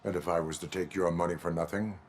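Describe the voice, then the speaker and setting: An actor playing a stern robotic cyborg police officer in a video game, speaking formally in a clipped, deadpan way. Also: male voice, deep voice, fast talker, slight background noise, close to an everyday voice. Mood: flat, official. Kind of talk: video game cutscene acting.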